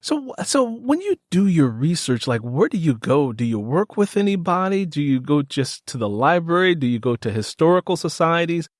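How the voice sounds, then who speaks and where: Animated male podcast host, dry sound. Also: on the high side, normal speed, few pauses, dry recording. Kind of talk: conversational interview.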